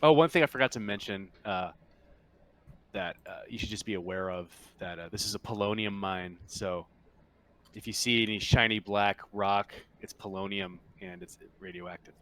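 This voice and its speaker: A man narrating a tabletop game casually as game master, explaining things in a halting, conversational way. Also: lowish voice, fairly bright tone.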